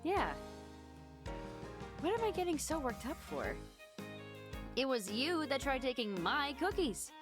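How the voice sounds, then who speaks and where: A woman's voice: high-pitched, very animated, unhurried, pauses often, music in the background. A woman voicing a smirking, easily flustered teenage schoolgirl in an amateur visual novel fandub.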